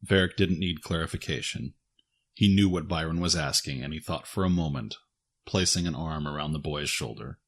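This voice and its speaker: Deep, husky man narrating fiction. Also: moderate pace. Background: music.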